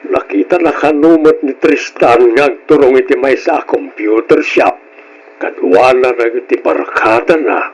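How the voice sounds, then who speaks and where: A man voicing an elderly, suspicious grandfather with a husky voice and an Ilocano accent in a radio drama. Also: medium pitch, normal speed, close to an everyday voice, rough recording. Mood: reporting, suspicious. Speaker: drama voice actor.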